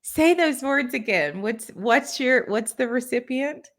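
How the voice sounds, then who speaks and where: A woman's voice: on the high side, expressive, measured pace, fairly bright tone. A young woman from Texas hosting a podcast, eager and chatty, often stumbling and repeating words. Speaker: podcast host. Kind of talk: casual interview chat.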